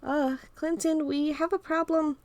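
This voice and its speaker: A woman reading a story, voicing a goofy, clumsy young man who yells out eagerly. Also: sits high, steady tone, measured pace, no room sound, pitched above the performer's own voice. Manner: eager, yelling.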